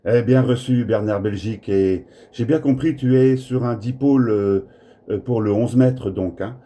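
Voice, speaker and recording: A man's voice: medium pitch, normal speed, mellow. A man chatting casually as an amateur radio operator, hesitating and repeating words. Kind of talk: casual radio contact chat. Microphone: smartphone recording in the room.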